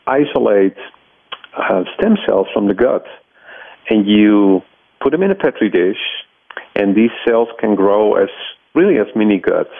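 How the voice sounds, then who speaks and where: Middle-aged male scientist, Dutch accent, gravelly low voice, halting explanatory interview delivery. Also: lively intonation, dry recording, phone-quality sound. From Netherlands. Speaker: research scientist and laboratory head, interviewee.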